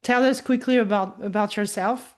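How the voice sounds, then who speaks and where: A woman hosting a podcast, reading a scripted introduction with a measured delivery before interviewing her guest. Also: mid-range voice, fairly even intonation. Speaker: podcast host.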